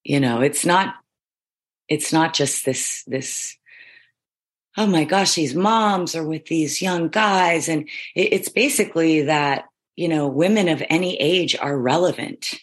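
A middle-aged woman with a notably deep, husky voice talks through an interview in long, looping sentences. She is emphatic and self-affirming, stacking one thought onto the next. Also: unhurried. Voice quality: low, husky voice. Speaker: reality show contestant guest. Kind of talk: casual interview chat.

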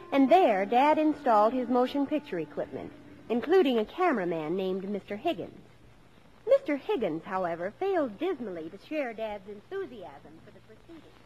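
An actress playing a wry grown daughter narrating family memories in a 1950s American radio drama, deliberate and storylike. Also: female voice, fairly high pitch, sing-song, soft background music, low-quality recording.

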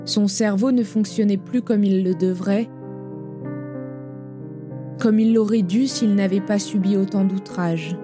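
A woman narrates an audio drama in a measured, solemn way. Her voice sits at a middle pitch, and clear piano music plays beneath her. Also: fairly even intonation.

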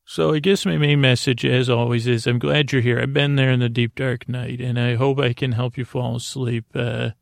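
A man hosting a bedtime podcast in a creaky, lulling voice, rambling haltingly and trailing off into long-winded tangents. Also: medium pitch, flat delivery, dry recording, clean studio sound. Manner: lulling, soothing delivery. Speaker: podcast host.

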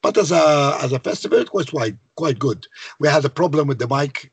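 Low-voiced Maltese man, husky. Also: lively intonation, brisk, no echo. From Malta. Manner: hesitant, repeats words, conversational. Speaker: songwriter guest. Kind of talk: casual interview.

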